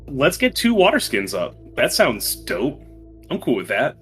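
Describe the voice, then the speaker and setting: A man's voice: medium pitch, wildly swooping pitch, unhurried, fairly bright tone. A man playing a tabletop game, bantering casually in a slangy, irreverent way. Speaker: player in a tabletop game podcast. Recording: music bed behind the voice.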